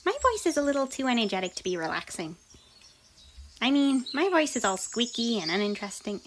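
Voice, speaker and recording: A woman voicing an affectionate, bubbly young cartoon pony in an ASMR roleplay, with a squeaky, breathy voice and a theatrical, tender manner. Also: sing-song, brisk. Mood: bubbly, sheepish. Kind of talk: ASMR fan fiction roleplay. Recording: noisy, birdsong and ambience behind.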